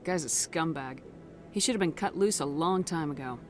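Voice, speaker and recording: A woman's voice: low-pitched, normal speed, soft background music, close to an everyday voice. A woman voicing a confident, principled military commander in a video game, direct and probing. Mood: direct, composed.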